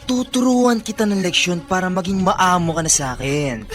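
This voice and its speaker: An actor playing a predatory, coercive bully in a Filipino radio drama, threatening and insistent. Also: male voice, high voice, big pitch swings, normal speed, music in the background. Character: menacing male bully, predatory, coercive schemer.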